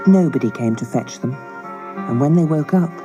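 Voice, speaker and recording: A woman storyteller narrating a scripted fairy tale for children in a measured, dramatic way. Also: deep voice, animated, over loud music.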